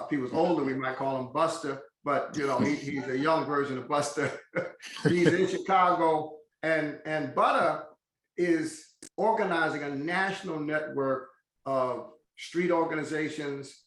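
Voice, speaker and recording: A man hosting an interview in a measured, emphatic way, slowing down to stress key phrases. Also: a little high, a bit bright, roomy sound, low-quality recording. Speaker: host.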